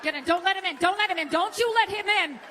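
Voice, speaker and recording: A woman's voice: very high voice, fast talker, noisy background. A woman doing conversational, observational stand-up comedy.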